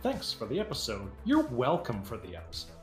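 A man's voice: mid-range voice, huge pitch swings, fairly quick, reverberant, faint music behind. A man chatting casually as a podcast co-host, enthusiastic and given to swearing. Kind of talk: casual chat.

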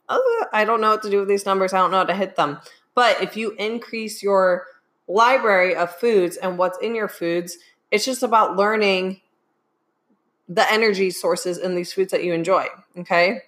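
A young adult woman who coaches fitness, giving live advice with a voice worn hoarse by a sinus infection and often checking in with "okay?". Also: lowish voice, a bit bright. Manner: keeps asking "okay?". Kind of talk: live-streamed advice talk.

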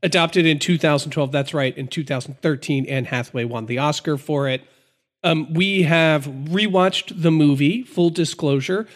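A congested American man with a slightly high voice co-hosts a podcast. He sounds nasal and a bit gravelly, and he keeps the casual chat cheeky and upbeat. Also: normal speed. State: congested from a sinus infection. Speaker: podcast co-host.

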